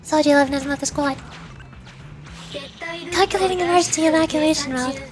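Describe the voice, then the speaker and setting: A high-pitched, confident AI assistant played by a woman from a video game. The delivery is formal and exact, with prominent music underneath. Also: fairly quick, lightly acted. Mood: calm, procedural. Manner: precise, formal announcements. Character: helpful, confident artificial intelligence assistant.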